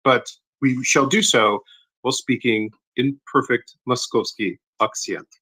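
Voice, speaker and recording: A man's voice: medium pitch, steady tone, measured pace, bright, forceful tone, husky, poor audio. A man chatting on a live audio call, joking in a wry, mock-formal way. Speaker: speaker on a live audio space. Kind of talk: casual chat.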